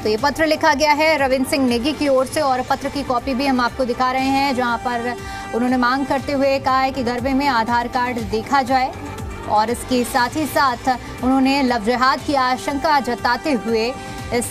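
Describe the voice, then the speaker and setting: A woman's voice: high-pitched, steady tone, moderate pace. A woman news anchor presenting live in a repetitive, explanatory news style. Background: background music.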